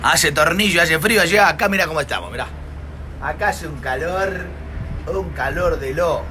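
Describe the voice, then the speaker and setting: Chatty Argentine pastor outdoors on phone. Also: male voice, on the high side, normal speed, noisy background. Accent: Argentine Spanish. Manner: chatty, jokey, exclamatory with frequent "eh" tags. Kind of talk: casual livestream chat. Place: outdoor terrace in hot sun. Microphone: phone at arm's length.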